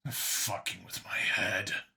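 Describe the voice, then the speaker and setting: Man playing a wary, dry human man, bright-toned. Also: low-pitched, level tone, slow, creaky, voice pushed down, heavily acted. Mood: muttering.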